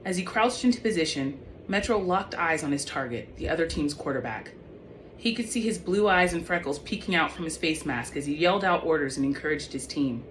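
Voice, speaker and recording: A woman's voice: low voice, expressive, a little fast. A woman author reading young adult fiction aloud in an audiobook style. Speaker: author reading own book. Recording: clearly noisy background.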